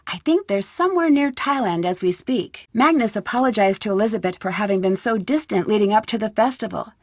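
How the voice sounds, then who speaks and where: A woman's voice: medium pitch, animated, a little fast, dry recording, studio quality. A woman playing a young, inquisitive American detective in a video game, speaking in an earnest, conversational way with an American accent. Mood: reflective, upbeat. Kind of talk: video game voice acting, narrated letter and dialogue.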